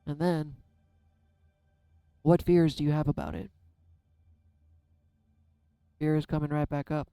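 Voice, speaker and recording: Man, tarot reader, soft-spoken, smooth dark tone, candid vlog delivery, faint music underneath. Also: a little high, level tone, takes it slowly, pauses often, no room sound, studio quality. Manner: candid, self-deprecating, musing aloud. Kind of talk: casual reflective vlog.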